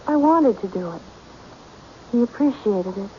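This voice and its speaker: An actress playing a devoted, defensive young maid in an old radio drama, speaking in a guarded way. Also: female voice, mid-range voice, animated, unhurried, natural voice. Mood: tender, earnest. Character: devoted, defensive young servant girl, dreamy daughter. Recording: dull, noisy vintage radio drama recording.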